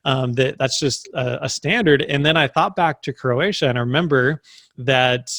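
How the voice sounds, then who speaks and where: A man's voice: mid-range voice, normal speed, vocal fry, fairly bright tone, no echo, studio quality. A young man giving a casual motivational talk, full of "like" and "right?" fillers. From United States. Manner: filler-heavy, many "like" and "right?". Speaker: summit speaker.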